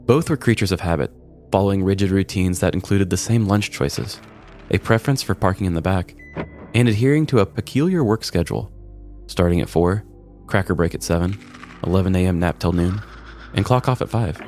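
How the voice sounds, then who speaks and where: A man's voice: low-pitched, fairly quick, a little mellow. A man narrating a scripted comedic story as a podcast host, in a wry, mock-serious manner. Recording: music bed behind the voice. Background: background music.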